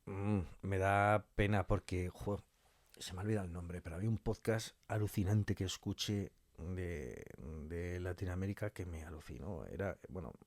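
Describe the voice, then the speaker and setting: Low-voiced man from Spain, effusive and colloquial. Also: moderate pace, no echo. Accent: Spanish from Spain. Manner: effusive, colloquial, emphatic repetition. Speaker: interview guest, audio fiction scriptwriter. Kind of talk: casual conversational interview.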